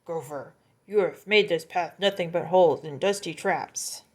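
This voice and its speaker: A woman's voice: on the low side, moderate pace, darkish tone, lightly acted. Woman reading as an eager, grumbling coyote. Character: eager, forgetful, easily annoyed male coyote.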